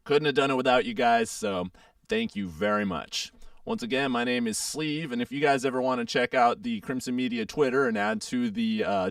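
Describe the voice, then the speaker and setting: A young man hosting a casual radio show, hoarse and froggy as he gets over a sore throat, chatting in a rambling way with lots of fillers. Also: medium pitch, no echo, studio quality, recorded from a distance. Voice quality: froggy, gravelly.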